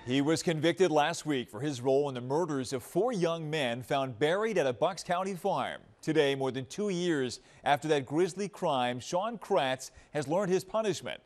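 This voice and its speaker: Higher-voiced American male news anchor. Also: lively intonation, normal speed, hardly any pauses. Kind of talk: scripted television news.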